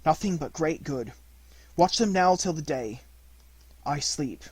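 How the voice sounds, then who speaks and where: Guy doing a menacing male panther for a storybook, measured and imperious, kinda husky, dry recording. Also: fairly high pitch, animated, no echo. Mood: calm, coaxing.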